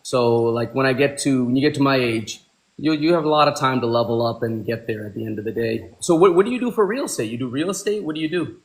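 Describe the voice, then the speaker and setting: A man's voice: mid-range voice, fast, echoey room. A man in his forties, born in Pakistan, hosting a casual livestream chat from a room at home, calling people bro and my man. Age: 40s. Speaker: livestream host.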